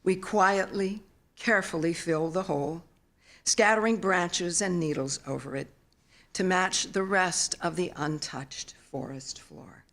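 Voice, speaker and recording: A mature woman reads her own literary prose to a live audience. Her voice sits a little low, and she takes her time with a very slow, measured delivery. The storytelling is solemn yet expressive. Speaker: author reading own work. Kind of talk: literary memoir reading at live event. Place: theater stage, live reading.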